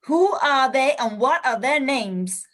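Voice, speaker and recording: A woman's voice: sits high, animated, slow pace, fairly bright tone, heavily acted. A woman playing a stubborn, feisty old lady ghost, mischievous and insistent, in a radio play. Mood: insistent, wistful. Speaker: actor in an amateur radio play.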